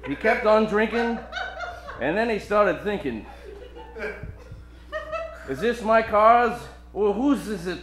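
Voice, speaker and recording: A man's voice: high-pitched, expressive, slow pace, long pauses, echoey room. A man playing a wisecracking, hard-drinking private detective with joking hard-boiled patter in a live comedic radio play.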